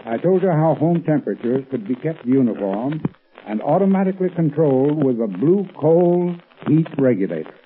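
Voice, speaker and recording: An American man with a husky voice, delivering a scripted 1930s radio advertisement as a company expert. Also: a little high, big pitch swings, moderate pace, a little hiss or hum. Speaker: company heating expert giving a sponsor talk. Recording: tinny 1930s radio recording.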